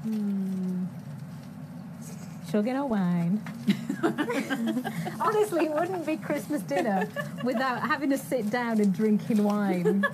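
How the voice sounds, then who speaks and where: High, lively young British woman. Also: takes it slowly, pauses often. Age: young adult. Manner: playful, sweary. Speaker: co-host of a charity cooking livestream. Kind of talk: casual joking banter. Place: studio kitchen, cooking noise behind. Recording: noisy busy kitchen livestream.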